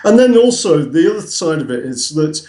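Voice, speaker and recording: A man's voice: on the high side, sing-song, fairly quick, mellow, echoey room. A young man with a Northern English accent, explaining his website in a casual, hesitant interview full of ums. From north of England. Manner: hesitant, many ums and restarts. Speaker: guest, website co-founder.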